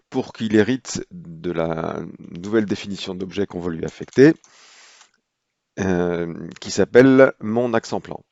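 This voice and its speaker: Low gravelly guy doing a software tutorial, lots of hesitating, dry dull-sounding mic. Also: no room sound. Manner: unhurried, explanatory, frequent hesitations. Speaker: software trainer. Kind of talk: screen-recorded software tutorial.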